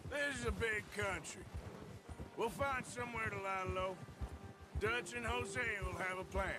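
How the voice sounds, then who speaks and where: An American actor playing a rugged, wry Old West outlaw in a video game cutscene, with a folksy drawl. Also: male voice, high-pitched, unhurried, music in the background. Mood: casual, wry.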